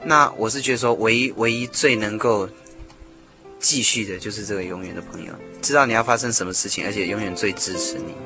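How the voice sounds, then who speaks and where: Very fast-talking man over music. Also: lowish voice. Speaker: interview guest, songwriter. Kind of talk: casual conversational explanation. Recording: music behind the voice.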